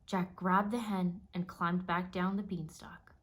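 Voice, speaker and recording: A woman narrating a children's storybook aloud in a measured, expressive storytelling manner. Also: fairly low pitch.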